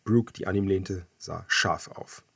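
A man reading scripted first-person thriller narration as an audiobook narrator, measured and dramatic. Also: low-pitched, vocal fry, slightly rough, no room sound, studio quality.

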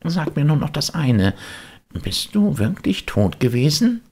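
A man's voice: fairly high pitch, very animated, unhurried, darkish tone, husky, voice pushed up, exaggerated voice. A man voicing an eager, naive little wooden puppet boy, exclaiming and pleading in an audiobook. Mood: tearful, earnest. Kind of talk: audiobook character voice.

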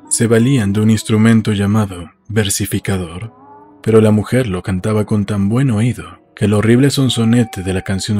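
A man's voice: on the low side, a little mellow. A man reading a literary audiobook as a scripted narrator. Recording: music behind.

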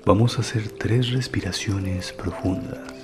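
A man hosting a guided meditation, giving soothing, unhurried instructions, recorded as narration for relaxation. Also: lowish voice, fairly even intonation. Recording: voice over music and water sounds. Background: relaxation music, running water.

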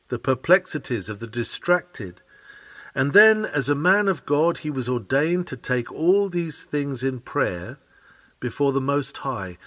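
A man's voice: on the high side, fairly bright tone, no echo. Man reading a sermon, dry close sound. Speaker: sermon reader. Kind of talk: reading a Victorian sermon aloud, scripted.

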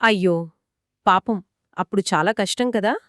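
A woman's voice: medium pitch, unhurried, fairly bright tone, dry recording, clean studio sound. A woman co-hosting a children's story, asking questions and reacting with curiosity in a conversational style. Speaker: co-host listening and asking questions.

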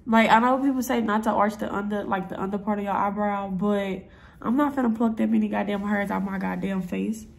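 A woman's voice: mid-range voice, fairly even intonation, brisk, vocal fry. Stuffy-voiced young woman chatting through a makeup routine. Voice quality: stuffy, nasal. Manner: blunt, chatty, profane, rambling. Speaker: vlogger. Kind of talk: casual makeup tutorial vlog.

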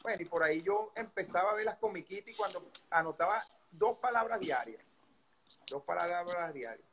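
Venezuelan man telling stories, relaxed. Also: sits high, moderate pace, breathy edge, rough recording. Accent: Venezuelan Spanish. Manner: relaxed storytelling with many fillers and restarts. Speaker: guest, baseball coach. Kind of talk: casual interview storytelling. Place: indoor room by a window. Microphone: video call webcam.

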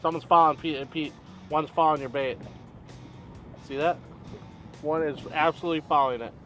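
A man with a slightly high voice chats while fishing from an open boat on a lake. His talk is easy-going and full of fillers. Faint music sits underneath. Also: steady tone, brisk, a bit bright. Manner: chatty, many fillers. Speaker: angler on a fishing show. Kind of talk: casual chat while fishing.

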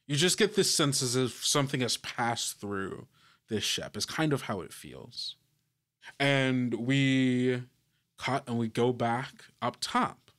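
A man running a tabletop game as game master, improvising suspenseful narration in a halting way. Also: medium pitch, fairly bright tone.

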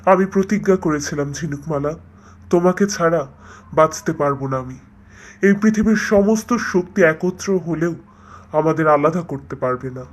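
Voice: medium pitch, normal speed, voice pushed down, close to an everyday voice. A performer voicing a devoted, heroic young prince in love, speaking earnestly and solemnly in a fairytale cartoon. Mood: tender, resolute.

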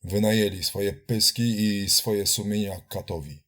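Low male voice, dramatic recitation, solemn, oratorical, accusatory. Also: a little slow, fairly bright tone. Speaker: reader of political writings. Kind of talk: dramatic recitation of a political essay.

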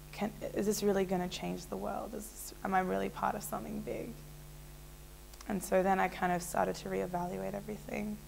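A woman's voice: mid-range voice, creaky, a little hiss or hum, rough recording. A young woman in a small indoor room, telling her personal story to a fixed camera in a casual, rambling way with many fillers. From Israel. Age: young adult. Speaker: interviewee.

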